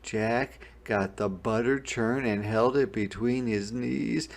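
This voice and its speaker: A man narrating a children's picture book in a deliberate, expressive storytelling manner. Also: medium pitch, vocal fry. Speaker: storybook reader. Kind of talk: scripted children's read-aloud narration.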